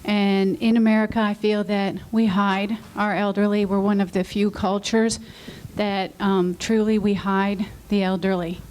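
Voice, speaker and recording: A woman in the audience asking the panel a heartfelt question, apologetic and loud-voiced. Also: mid-range voice, little pitch movement, a little slow, slight background noise, rough recording. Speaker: audience member. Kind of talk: question from the floor. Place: conference session room.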